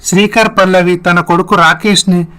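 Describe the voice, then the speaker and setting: A man's voice: high voice, fairly even intonation, moderate pace, sharp tone, smooth. A man narrating a scripted moral family story as a storyteller.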